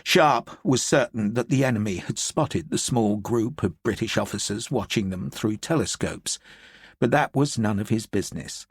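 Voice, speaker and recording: A man reading an audiobook in a measured, expressive storytelling manner, close to a studio mic. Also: lowish voice, breathy edge, no echo. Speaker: audiobook narrator. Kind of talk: scripted audiobook narration.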